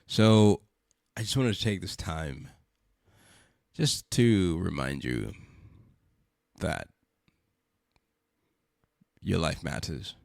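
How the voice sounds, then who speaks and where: A man's voice: low voice, animated, drawn-out words, vocal fry, long pauses, a little mellow, dry recording, studio quality. A man hosting a podcast with a congested, stuffy voice, speaking earnestly and repeating rhetorical questions. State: congested from a cold. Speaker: podcast host. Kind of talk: reflective spoken monologue.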